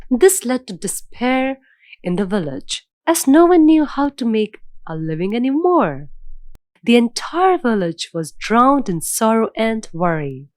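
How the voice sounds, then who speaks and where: Slow, expressive woman storyteller. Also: on the high side, no echo, studio quality. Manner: measured, dramatic storytelling. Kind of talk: scripted children's fairy tale narration.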